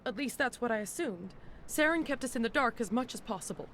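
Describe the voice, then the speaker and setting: Scared alien scientist in a game, highish nervous voice, explaining stuff, slight bg noise. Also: female voice, lively intonation, fairly quick, a bit bright. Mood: uneasy, explaining. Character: frightened alien woman scientist, anxious to escape. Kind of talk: video game voice acting.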